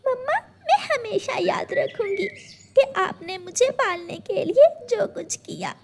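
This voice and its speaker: A woman's voice: very high voice, lively intonation, unhurried, voice pushed up, heavily acted. A woman voicing a sweet, curious little daughter bird in a childlike, affectionate cartoon manner. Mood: tender, tearful.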